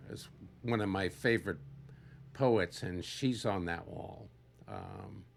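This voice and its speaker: Slow, low-voiced older man with gravelly creak. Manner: halting, searching for words. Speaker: speaker giving a personal talk. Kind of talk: unscripted reflective monologue.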